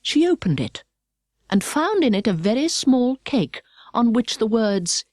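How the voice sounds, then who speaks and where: Audiobook narrator: British woman, precise expressive storyteller, highly varied intonation, dry studio sound. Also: unhurried, no room sound.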